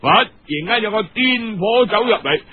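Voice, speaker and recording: Animated high-voiced male Cantonese storyteller. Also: no room sound. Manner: expressive, conversational, drawn-out phrasing. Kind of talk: colloquial dramatized Cantonese storytelling. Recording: tinny, narrow-band radio sound.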